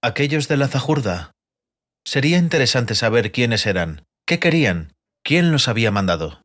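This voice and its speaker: An actor playing a curious, witty bard with a theatrical, inquisitive manner in a fantasy audiobook. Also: male voice, medium pitch, very animated, normal speed, fairly bright tone. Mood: curious, probing. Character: curious male bard poet, uneasy but witty. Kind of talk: dramatized fantasy audiobook dialogue.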